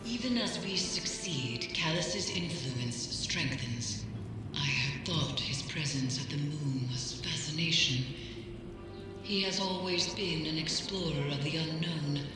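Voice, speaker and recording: An actress playing a grave, haunted mystic in a video game, speaking formally, deliberately and ominously. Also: female voice, low-pitched, lively intonation, over music. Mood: solemn, foreboding. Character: grave, haunted woman mystic, a witch of great resolve. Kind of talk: dramatic scripted video game dialogue. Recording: cavernous echo and long reverb over noise.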